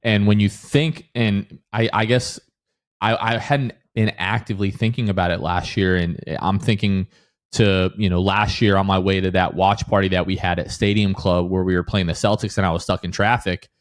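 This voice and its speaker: A man's voice: deep voice, steady tone, moderate pace. An American man hosting a sports podcast in a casual, chatty style.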